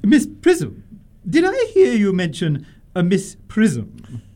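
Snobbish dowager, commanding and haughty, very animated intonation, radio comedy. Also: female voice, mid-range voice, slow pace. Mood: haughty, suspicious. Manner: haughty, commanding, grandly emphatic. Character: imperious, snobbish older aristocratic lady. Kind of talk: stage comedy radio acting.

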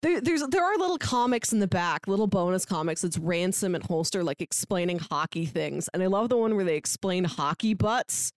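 A woman in casual, jokey podcast conversation about books, with an animated delivery that slips into acting out little quotes. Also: on the low side, a little fast, hardly any pauses, dry recording, studio quality. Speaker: podcast co-host. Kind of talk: casual book-discussion chat.

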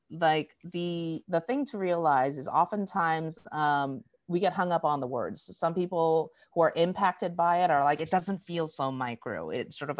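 An American woman speaking in an interview, explaining things in an animated, storytelling way and acting out quoted dialogue. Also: low voice, dry recording. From United States. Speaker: diversity educator. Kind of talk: conversational interview.

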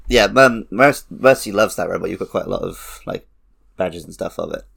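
Man, low pitch, gravelly fry, casual podcast co-host, enthusiastic fan talk. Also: fairly quick, fairly bright tone. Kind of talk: casual film and game discussion.

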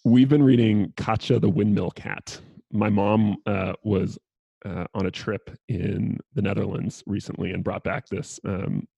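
A man hosting a podcast and chatting casually, with a husky, creaky voice. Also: on the low side, fairly even intonation, unhurried, mellow, no echo, lo-fi sound. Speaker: podcast host. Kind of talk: casual chat.

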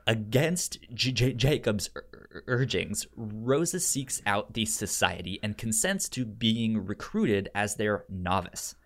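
Male podcast co-host, lowish voice, unhurried and halting, marked stutter with repeated syllables, casual pitch style. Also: big pitch swings, a bit bright.

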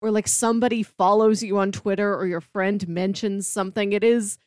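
A woman's voice: mid-range voice, steady tone, normal speed, clear, resonant tone, no echo, clean studio sound. A woman co-hosting a podcast in casual, conversational interview chat. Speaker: podcast co-host.

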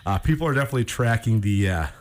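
A man's voice: fairly low pitch, lively intonation, racing. A man hosting a sports radio show in a casual, conversational way, recorded in a studio. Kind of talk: casual radio talk and sponsor reads.

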